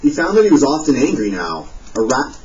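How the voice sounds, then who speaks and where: Man, audiobook narration, lively intonation, distant mic, muffled sound. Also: mid-range voice, a little fast. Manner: expressive reading with lively dialogue. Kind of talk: scripted novel narration. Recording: distant, dull sound with faint hiss.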